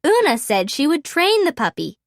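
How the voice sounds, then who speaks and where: Female storybook narrator: bright-pitched and very expressive, clear phrasing for little kids, dry sound. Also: moderate pace, no echo. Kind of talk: children's read-aloud narration.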